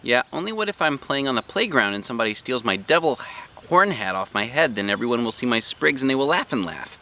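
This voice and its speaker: A man's voice: medium pitch, animated, brisk, bright, forceful tone, pitched above the performer's own voice. A man voicing a dramatic, anxious, stubborn little schoolgirl in a storybook reading, with emphatic childlike phrasing. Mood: worried.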